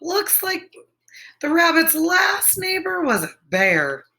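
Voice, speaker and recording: Female read-aloud teacher for kids: unhurried, explanatory, carefully enunciated, with very animated intonation. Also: very high-pitched. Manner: clearly enunciated, explanatory, simple phrasing. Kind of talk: lesson and story reading for children.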